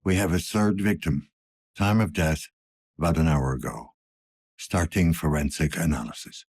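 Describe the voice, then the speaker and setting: A man playing a weary, gruff older police detective in a cyberpunk video game, speaking tersely in a low-key, world-weary way. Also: normal speed, a little mellow, dry recording, studio quality, full character voice. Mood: grim, clinical. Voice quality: husky, gravelly.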